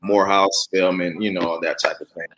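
Male interview host, forties, lowish voice, conversational delivery. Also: fairly even intonation, normal speed, fairly bright tone, low-quality recording. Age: 40s.

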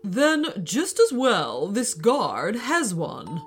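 A woman's voice: medium pitch, wildly swooping pitch, faint music behind, exaggerated voice. A woman voicing a showy male warlock with a theatrical manner, in a children's story. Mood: triumphant. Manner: slow, theatrical. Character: showy male warlock performing magic tricks.

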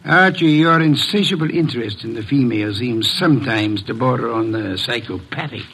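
An actor playing a bulky, pompous genius detective who grumbles in formal, wordy speech, in old American radio drama style. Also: male voice, mid-range voice, lively intonation, moderate pace, fairly bright tone, slight background noise. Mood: grumbling, irritated. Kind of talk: 1940s-50s American radio drama acting.

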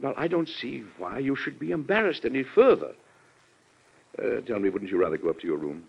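A formal worried president dad in an old radio drama, hesitant and courteous, muffled vintage sound. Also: male voice, medium pitch, lively intonation, fairly quick, creaky, slightly rough. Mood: courteous, apologetic. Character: dignified, worried American president and disappointed father. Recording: dull, band-limited old radio recording.

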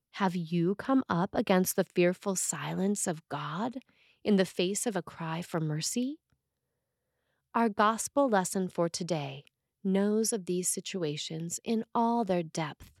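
A woman reading a scripted sermon as a preacher, measured and deliberate with emphatic phrases. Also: on the low side, dry recording, clean studio sound.

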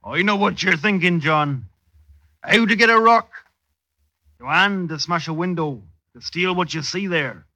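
Slow, sly old-time constable. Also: male voice, high voice, pushed and bright, no room sound, full character voice. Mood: knowing, cautioning. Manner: slow, insinuating, hesitant. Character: sly, slow-spoken English street constable of the 1720s. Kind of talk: 1950s radio drama acting. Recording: dull old radio recording.

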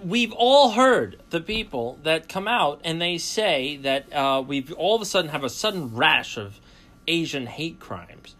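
A man's voice: on the high side, animated, unhurried, a bit bright. A man hosting a college radio talk show, delivering a boastful, sarcastic opinion monologue. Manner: boastful, sarcastic, self-mocking.

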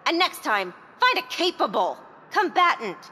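A woman's voice: high voice, sing-song, normal speed, pushed and bright, exaggerated voice. A woman playing an imperious, disdainful arena ruler in an audio drama, barking haughty commands. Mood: haughty disgust. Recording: long echoing hall reverb.

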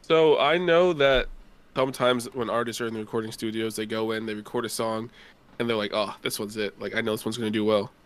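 A young man hosts a laid-back podcast chat in an even, ordinary voice. His questions run long, loop back on themselves and pile up before reaching the point. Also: on the low side, fairly quick, clear, resonant tone. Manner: long rambling questions with restarts. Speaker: podcast host. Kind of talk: casual interview questions.

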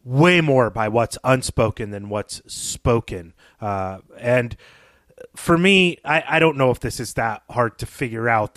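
Analytical man, mid-pitched, dry recording. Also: fairly bright tone, dry recording. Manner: thoughtful, analytical. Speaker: podcast co-host. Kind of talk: conversational literary analysis.

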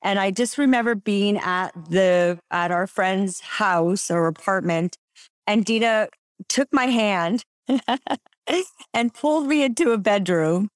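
A woman hosting a podcast in casual chat, bubbly and quick to laugh. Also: medium pitch, dry recording, clean studio sound. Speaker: podcast host.

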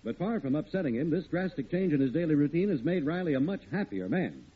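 A man's voice: fairly high pitch, sing-song, quick pace, slightly rough. A man with an American accent announcing an old-time radio show. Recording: narrow vintage radio, loud music behind.

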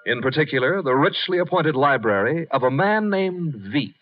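A man's voice: a little high, animated, moderate pace, a little hiss or hum. An American man announcing and narrating in a 1950s network radio style. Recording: dull vintage radio broadcast recording.

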